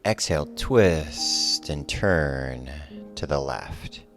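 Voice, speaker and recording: A man teaching a guided relaxation yoga class, giving calm, soothing, measured cues over soft music. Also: a bass voice. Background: soft guitar music.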